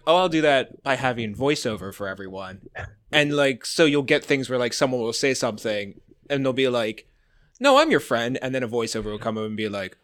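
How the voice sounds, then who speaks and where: A man's voice: medium pitch, a bit bright, dry recording, studio quality. A man talking casually and conversationally on a podcast. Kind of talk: casual chat.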